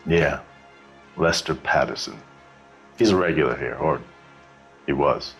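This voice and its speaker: A guarded, sardonic barkeep from a 1940s detective game. The voice is low and the delivery dry and offhand, as if giving away little. Soft music sits behind it. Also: male voice, unhurried, slightly rough, exaggerated voice. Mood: wry. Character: guarded, sardonic working-class bar manager. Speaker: bartender. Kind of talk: scripted video game dialogue, 1940s crime drama.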